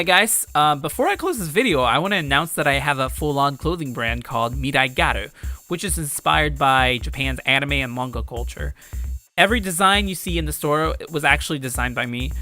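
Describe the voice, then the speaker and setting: Guy chatting over his game, slangy, bright creaky voice, close dry sound. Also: fairly high pitch, dry recording. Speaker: video creator and game developer. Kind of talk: casual game playthrough commentary.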